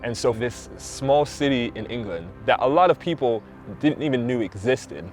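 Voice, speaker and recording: A man's voice: mid-range voice, animated, moderate pace, faint music behind. A man speaking earnestly and passionately in a reflective documentary interview.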